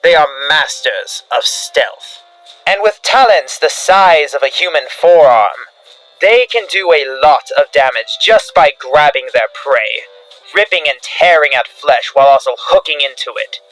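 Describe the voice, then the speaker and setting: A man's voice: on the high side, lively intonation, unhurried, a bit bright, no room sound, low-quality recording. A man delivering scripted analog horror narration like a field briefing, in a grave, dramatic instructional manner. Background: background music.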